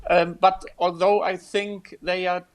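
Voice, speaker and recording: A man's voice: high-pitched, little pitch movement, unhurried. A man speaking bluntly as a panelist, urging bolder action. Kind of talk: panel discussion.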